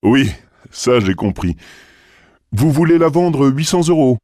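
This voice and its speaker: A man's voice: medium pitch, lively intonation, unhurried, mellow, dry recording, studio quality, natural voice. A man playing a polite, interested buyer in a scripted phone dialogue. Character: polite, interested male buyer calling about an advert.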